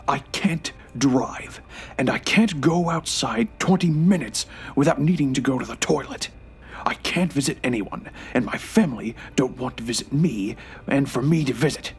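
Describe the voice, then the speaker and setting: An actor playing a frail, bitter, remorseful old grandfather in an audio drama, halting and irritable, sometimes hushed and breathy. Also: mid-range voice. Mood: regretful, bitter.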